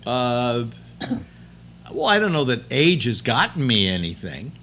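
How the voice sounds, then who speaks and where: Older man in his late 70s, host, casual chat, halting restarts, animated pitch, faint noise behind. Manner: rambling, halting with many restarts.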